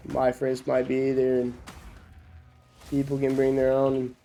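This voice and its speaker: A man's voice: medium pitch, completely flat delivery, a little slow, creaky, warm tone, slight background noise. A young man from Norway who is sick with a cough, chatting loosely with viewers while streaming a game, with lots of fillers and restarts. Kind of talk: casual chat with stream viewers while gaming.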